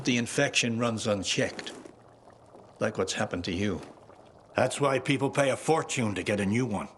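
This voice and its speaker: An actor playing a cynical, world-weary middle-aged ex-soldier in a game cutscene. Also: male voice, fairly low pitch, fairly bright tone, a little hiss or hum. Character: jaded, gruff middle-aged male ex-soldier. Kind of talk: video game cutscene voice acting.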